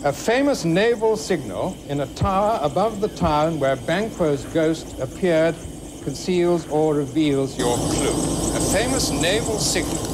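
A man hosting a game show from a studio, speaking in a measured, formal way and reading clues out slowly and clearly. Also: on the high side. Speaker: studio host. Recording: noisy old TV studio recording.

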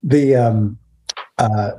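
A man in his fifties interviewing over a video call, phrasing questions in a measured, careful way. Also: medium pitch, mellow, rough recording. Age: 50s. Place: indoors, virtual background.

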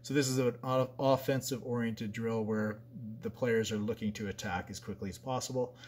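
A man's voice: mid-range voice, steady tone, normal speed, slight background noise. A man, a basketball coach, talking through a practice drill at a whiteboard in a plain, conversational way with frequent fillers and restarts. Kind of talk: explaining a drill over a whiteboard diagram.

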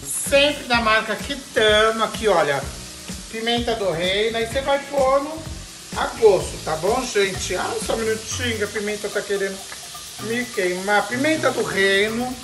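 A woman's voice: on the high side, unhurried. A middle-aged woman with a Brazilian Portuguese accent hosts a casual cooking demonstration in her home kitchen. She talks in a flamboyant, campy way, full of slang and playful exclamations. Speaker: cooking show host. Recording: echoey kitchen with music behind.

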